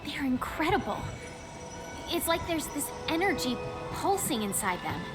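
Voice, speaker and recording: An actress playing an eager, wide-eyed young researcher in a video game cutscene. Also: female voice, high voice, big pitch swings, normal speed. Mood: awed. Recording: music behind the voice.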